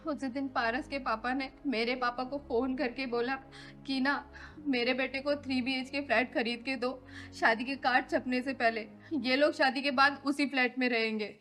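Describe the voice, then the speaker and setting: A woman acts a young runaway bride in a moral drama. Her voice is fairly high and earnest, pleading, over faint music. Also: steady tone, moderate pace, fairly bright tone. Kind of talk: Hindi moral drama acting.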